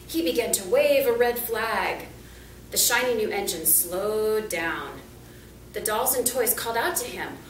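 Animated woman reading a picture book, roomy sound. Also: medium pitch, unhurried. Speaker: volunteer storybook reader. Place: small indoor room, seated. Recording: roomy, distant camera mic, faint noise.

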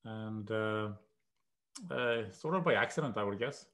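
Older Swedish-accented man, measured. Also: fairly low pitch, fairly bright tone. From Sweden. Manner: measured, hesitant with many "uh"s. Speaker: guest professor. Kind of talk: conversational interview. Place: home room. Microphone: video call.

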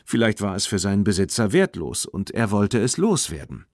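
A man's voice: lowish voice, big pitch swings, normal speed, a little mellow, dry recording, clean studio sound. A man voicing a practical, level-headed young boy in a German children's audiobook, with a standard German accent. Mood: matter-of-fact. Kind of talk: children's audiobook character reading.

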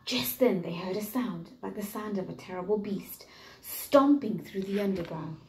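A woman's voice: medium pitch, darkish tone, a little hiss or hum. A young adult woman, a teacher narrating a children's storybook expressively. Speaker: teacher reading to pupils. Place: small room indoors, at a table. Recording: roomy home recording.

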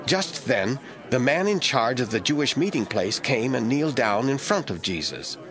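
A man narrating a Bible audio drama as scripted, expressive storytelling. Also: medium pitch, moderate pace, a faint crowd.

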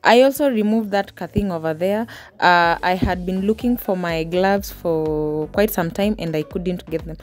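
A woman's voice: fairly low pitch, unhurried, soft background music. A woman, casually narrating her home cleaning in a chatty voice-over. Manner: relaxed, chatty, small restarts. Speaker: homemaking vlogger.

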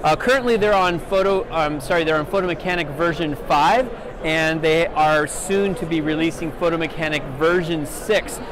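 A middle-aged man hosting a photography video, introducing a trade show visit in a relaxed way and correcting himself as he goes. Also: fairly high pitch, moderate pace, background noise. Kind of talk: casual vlog intro. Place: busy trade show floor indoors. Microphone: phone at arm's length. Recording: crowded trade show hall.

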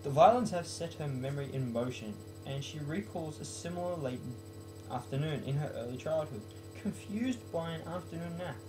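A man's voice: a little high, moderate pace, music in the background, poor audio. A young man in his late teens or early twenties, speaking casually as a student vlogger at home. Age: late teens to young adult. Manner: self-mocking, jokey. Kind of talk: casual talk and poem reading. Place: small bedroom, indoors.

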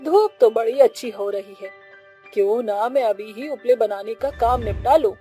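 A woman's voice: fairly high pitch, normal speed, warm tone, faint music behind, lightly acted. A woman voicing a hardworking, affectionate village woman in cartoon dialogue.

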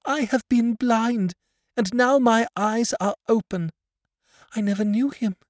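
A man's voice: very high voice, unhurried, dry recording, clean studio sound, pitched above the performer's own voice. A man voicing a young blind woman who pleads in a raised, strained voice. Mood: anguished, ecstatic.

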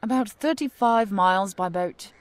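An actress playing a feisty, skeptical, indignant woman in an audio drama, sharp-tongued and sarcastic. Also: mid-range voice, moderate pace, no echo, lightly acted. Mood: firm.

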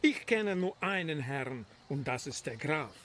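Snooty nobleman's servant, acted by a man for an audio story: highish, very animated, condescending. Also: unhurried, slight background noise. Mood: haughty. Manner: pompous, formal, condescending. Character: haughty, impatient male servant of a count, out shopping.

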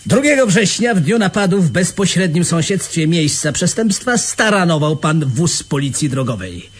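Male police lieutenant for radio drama: higher-set voice, very animated delivery, gruff, scornful, brusque. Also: normal speed. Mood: sardonic, official. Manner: mocking, brusque, with scornful chuckles. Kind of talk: radio play acting.

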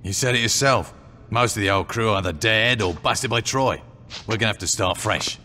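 An actor playing a tough, dry-humoured gang boss in a video game cutscene, speaking bluntly with plenty of swearing. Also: male voice, lowish voice, normal speed, bright, forceful tone, big-room sound, a little hiss or hum. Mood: matter-of-fact.

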